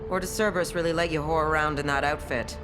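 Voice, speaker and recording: A woman's voice: low-pitched, steady tone, fast, lightly acted. Sneering actress as a mercenary woman captain, music behind. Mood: taunting. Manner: sneering, taunting. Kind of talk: dramatic video game acting.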